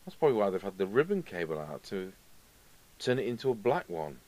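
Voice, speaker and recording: A man's voice: fairly low pitch, very animated, a little fast, a little mellow. A middle-aged man giving casual hands-on repair commentary, musing aloud to himself as he works. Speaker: radio repair video host. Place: indoor workbench, overhead camera.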